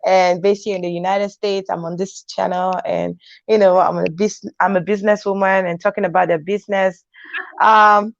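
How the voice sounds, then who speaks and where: A woman's voice: mid-range voice, little pitch movement, normal speed, creaky, dull audio. A woman hosting a live online talk show, reading viewer comments aloud and encouraging her guest, laughing often.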